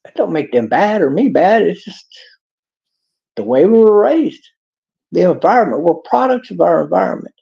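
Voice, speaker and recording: A man's voice: high voice, sing-song, normal speed, creaky, dark tone. A man with a Texas accent giving a rambling, preachy monologue in a folksy drawl. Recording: dull lo-fi clip.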